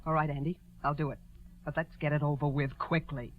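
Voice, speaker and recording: A woman's voice: deep voice, little pitch movement, brisk, a little hiss or hum, close to an everyday voice. A woman playing a hesitant, anxious accomplice in a crime, in 1940s radio acting style. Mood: uneasy. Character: uneasy female accomplice in a murder scheme. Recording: dull vintage 1940s radio recording.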